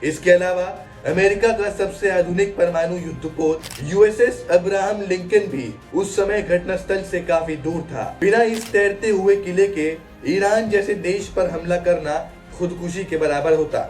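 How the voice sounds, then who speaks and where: A man's voice: high voice, measured pace, few pauses, darkish tone, recorded from a distance. A man delivering a scripted news explainer in a dramatic manner, posing rhetorical questions. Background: background music.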